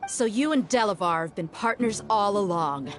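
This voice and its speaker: An actress playing a confident, sardonic mercenary with a cool, casual manner in a video game. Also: female voice, medium pitch, lively intonation, moderate pace, music in the background, natural voice. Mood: wary. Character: confident, wry female desert mercenary, cool under pressure. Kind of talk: anime-style video game voice acting.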